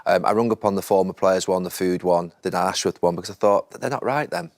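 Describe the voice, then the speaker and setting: A man's voice: low voice, fairly even intonation, quick pace, a little mellow, dry recording, clean studio sound. A man working as a broadcaster and pundit discussing football on a panel, emphatic and prone to repeating his points.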